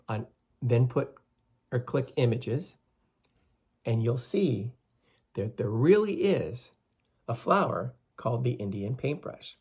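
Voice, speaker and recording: A man reading a story aloud to schoolchildren in an expressive, teacherly way, with emphatic phrasing. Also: medium pitch, breathy edge. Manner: teacherly, emphatic, addresses listeners with questions.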